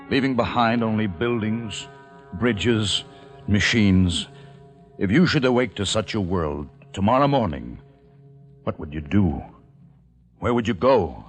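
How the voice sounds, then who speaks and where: A very low-voiced, resolute male survivor of a dead world, played by a man, speaks with solemn, deliberate weight. It is vintage radio drama, with music clearly running under the voice. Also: lightly acted. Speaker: radio drama lead actor and narrator. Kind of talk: old time radio dramatic acting and narration.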